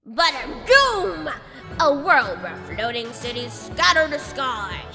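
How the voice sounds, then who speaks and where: A woman voicing a silly, excitable young female bunny in a cartoon comedy, playful, theatrical and flighty, full of imagination. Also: high voice, slow, full character voice. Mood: excited, theatrical. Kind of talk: animated visual novel comedy dialogue. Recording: music behind the voice.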